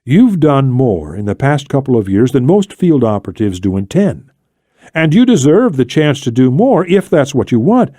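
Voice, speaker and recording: Skeptical male operative acted by a male reader, pleading, animated, warm-toned audiobook dialogue. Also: medium pitch, normal speed, dry recording, clean studio sound. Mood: cautious. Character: skeptical, bitter, protective male intelligence operative.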